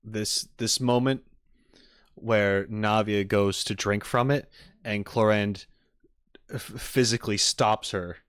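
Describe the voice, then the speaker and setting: Casting: male streamer, lowish voice, very unhurried, rambling casual reaction commentary with halting rephrasing. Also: steady tone, a bit bright, clear, resonant tone, no room sound, clean studio sound. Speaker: streamer reacting to game trailers.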